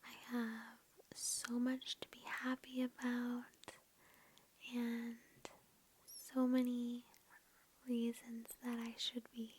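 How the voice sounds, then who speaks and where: Female ASMR meditation guide, hushed unvoiced whisper, very even and unhurried, faintly noisy lo-fi recording. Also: on the high side. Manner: gentle, reassuring, unhurried. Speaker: ASMR host. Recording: lo-fi with background noise and clicks.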